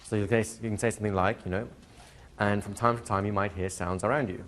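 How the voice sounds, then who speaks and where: A man teaching a hypnotherapy class to a live group, explaining plainly and slipping into slow, soothing hypnotic patter for demonstrations. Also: lowish voice, steady tone, a bit bright, slight background noise. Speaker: hypnosis trainer. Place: training room with a live audience.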